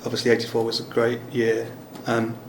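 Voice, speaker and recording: A man's voice: mid-range voice, completely flat delivery, a little fast. A man with an English accent asks informal, rambling questions in a casual interview chat. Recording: echoey room, some background noise.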